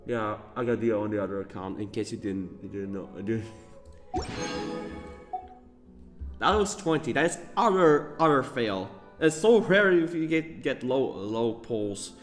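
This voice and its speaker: A man's voice: medium pitch, animated, a little slow, soft background music. A man giving casual gameplay commentary as a streamer, rambling with many fillers and chuckles. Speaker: gaming streamer.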